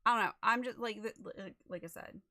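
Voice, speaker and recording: A woman chatting casually as she sets up a livestream, a little raspy and losing her voice, rambling with many fillers into a desk microphone. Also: on the high side, fairly quick, sharp tone. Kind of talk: casual chat while setting up a stream.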